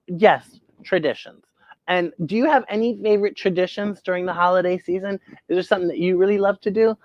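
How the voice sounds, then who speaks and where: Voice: mid-range voice, brisk, dry recording, muffled recording. A children's show host talking to young viewers in a theatrical, emphatic storytelling way, drawing out words.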